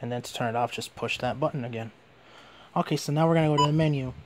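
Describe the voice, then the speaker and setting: A man's voice: mid-range voice, fast, heavy background noise. A man giving a casual hands-on product demonstration as a reviewer.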